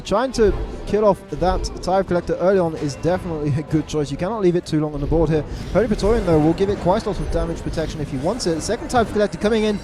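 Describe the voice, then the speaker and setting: A man giving live play-by-play commentary on a card game match, stressing repeated words and correcting himself quickly. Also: sits high. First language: English. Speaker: esports caster. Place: event venue abroad.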